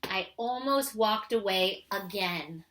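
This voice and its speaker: Woman reading as an earnest storybook egg, bright and unhurried. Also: medium pitch, a little hiss or hum. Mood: nervous, resolute. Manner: expressive, deliberate storytelling with dramatic pauses. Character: earnest anxious storybook egg, male, recovering from a fall. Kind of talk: picture book read-aloud narration.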